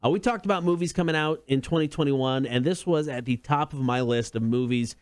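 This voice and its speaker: A man's voice: mid-range voice, brisk, clean tone, no room sound. A man co-hosting a casual entertainment talk show, chatting informally. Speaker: show co-host.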